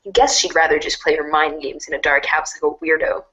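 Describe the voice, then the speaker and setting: A woman narrating a second-person storybook in an expressive, wry way. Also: low-pitched, a little fast, muffled recording.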